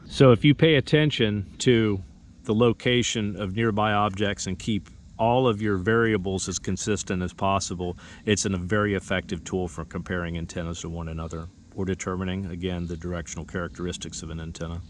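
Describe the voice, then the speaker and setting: A man's voice: deep voice, fairly even intonation, brisk, slight background noise. A man presenting an explanatory equipment demonstration in a methodical, instructional manner. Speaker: presenter of radio equipment tutorial.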